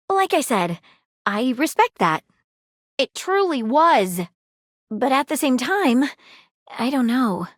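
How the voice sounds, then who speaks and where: A theatrical magnifying-glass detective, earnest and a little flirty, with a voice that sits fairly high. Her delivery swoops up and down as she puzzles over mysteries. The sound is close and dry, with no room around it. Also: no echo. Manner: dramatic sleuth delivery, earnest and playful. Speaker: dating-game character. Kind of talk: video game voice acting.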